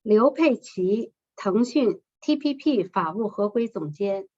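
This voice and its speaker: A woman co-announcing award winners at a ceremony, reading each name and firm in a measured, ceremonial way. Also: medium pitch, expressive, a little mellow. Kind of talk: formal announcing of names and titles. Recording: slightly muffled lo-fi sound.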